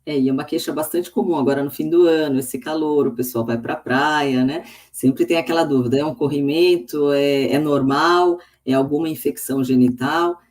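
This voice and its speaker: A woman's voice: low voice, moderate pace, hardly any pauses, a little mellow. Woman hosting a video-call live. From São Paulo state, Brazil. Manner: friendly, conversational, with fillers. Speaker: host of a medical livestream. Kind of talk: hosting an online live talk.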